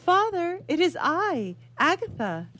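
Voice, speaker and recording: A woman puts on a dutiful, sweet-natured young daughter in an old stage melodrama. Her speech is formal and earnest, pitched up from plain narration. Music plays behind her. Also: sing-song, slow, full character voice. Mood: bright, affectionate. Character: devoted, sweet young daughter of poor blind father.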